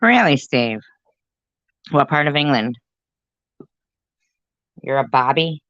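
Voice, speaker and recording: A woman's voice: a bass voice, sing-song, takes it slowly, fairly bright tone, no echo, dull audio. A woman commentator making sarcastic, dry asides while reacting to clips.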